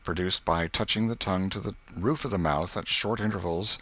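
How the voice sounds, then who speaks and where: A man reading literary narration as an audiobook narrator, in an expressive, measured storytelling manner. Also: deep voice.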